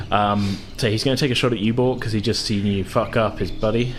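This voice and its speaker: A man's voice: fairly low pitch, brisk, noisy background. A man casually narrating a tabletop game as game master, informal and sweary. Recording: music playing behind the voice. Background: loud music.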